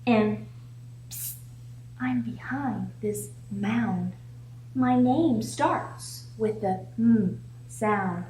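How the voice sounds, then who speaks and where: A woman's voice: medium pitch, very slow, warm tone. A woman reading a picture book aloud to young children as a storytime host, with an encouraging, sing-song way of prompting her listeners. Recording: echoey room with faint background noise.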